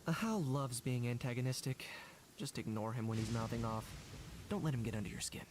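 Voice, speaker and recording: A man's voice: medium pitch, fairly quick, natural voice. A man playing an unruffled, dryly teasing young companion character in a video game. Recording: noisy game audio through livestream.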